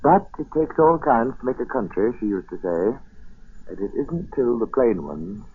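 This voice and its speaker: An actor playing a folksy storyteller who retells an old family tale in 1940s radio drama style, on a vintage radio recording. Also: male voice, medium pitch, expressive, moderate pace, breathy edge, narrow, tinny audio. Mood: wistful, earnest. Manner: folksy, yarn-spinning.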